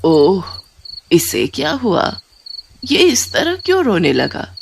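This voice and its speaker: A woman's voice: medium pitch, huge pitch swings, slow pace, gravelly, slight background noise, full character voice. Woman voicing an anxious, suspicious old mother-in-law. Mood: puzzled. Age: older.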